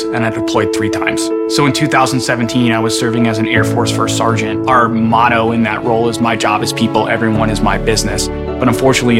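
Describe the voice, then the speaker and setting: A man, an Air Force veteran, reading a personal public service announcement in an earnest, measured way. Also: lowish voice. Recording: with loud music behind.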